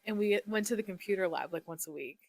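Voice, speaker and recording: A woman's voice: mid-range voice, quick pace, dry recording. A young woman in a casual chat with a close friend, telling stories in a giggly, self-deprecating way. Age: young adult. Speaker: podcast host.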